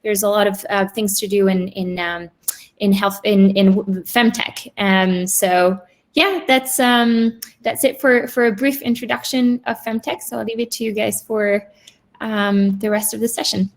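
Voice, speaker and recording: A woman's voice: mid-range voice, fairly even intonation, measured pace. A woman presenting a market overview to a panel, hesitant, with many fillers and restarts.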